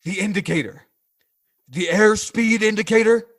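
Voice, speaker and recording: A man playing an exasperated, stunned but commanding pilot in a 1940s-style radio drama, speaking in a halting, insistent way. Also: sits high, fairly bright tone. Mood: frantic, insistent. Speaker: voice actor.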